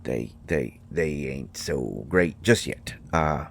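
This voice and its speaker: A man playing a shy, kindly mushroom fairy in a roleplay audio drama, speaking haltingly with folksy, ungrammatical phrasing. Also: very deep voice, lively intonation, creaky, husky, exaggerated voice. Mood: hesitant, cautionary. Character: shy, kindly male mushroom fairy living in magic library. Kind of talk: scripted roleplay audio drama addressed to the listener.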